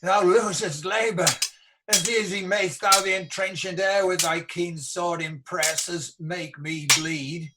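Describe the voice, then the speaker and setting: A man's voice: sits high, fairly even intonation, a little slow, heavy background noise. An amateur actor playing a doomed, defiant warrior king in a radio play, declaiming weighty Shakespearean verse. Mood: proud. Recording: home recording over video call.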